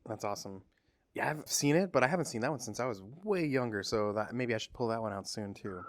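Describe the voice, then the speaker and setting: Male co-host, thirties, mid-pitched, excited and chatty, dry close mic. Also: quick pace, dry recording. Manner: enthusiastic, laughs often. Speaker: podcast co-host. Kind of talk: casual pop culture chat.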